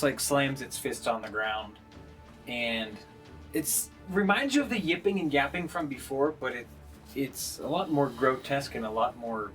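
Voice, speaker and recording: A man's voice: mid-range voice, fairly bright tone. A man improvising narration as the game master of a casual tabletop role-playing session.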